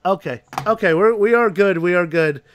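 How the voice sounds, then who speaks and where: A man's voice: high-pitched, fairly quick, slight background noise. A man hosting a casual chat show, rambling with lots of fillers and restarts and laughing often. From New Jersey, USA. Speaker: podcast host.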